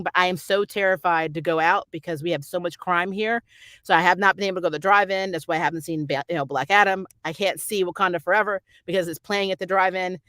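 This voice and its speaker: A woman's voice: mid-range voice, a bit bright, no echo. Chatty, sweary woman, dry close sound. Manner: rambling, candid and sweary, chuckling often. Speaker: host of a TV and film review channel; actor who auditions. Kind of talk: casual unscripted livestream chat.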